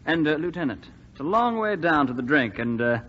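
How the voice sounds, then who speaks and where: Casting: crisp commanding colonel with dry wit, wartime radio play, raised pitch, muffled sound. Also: male voice, big pitch swings, a little fast, slight background noise. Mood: brisk, authoritative. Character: crisp, dry-witted male air force colonel. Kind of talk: 1940s radio drama acting, military briefing. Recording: muffled 1940s radio recording.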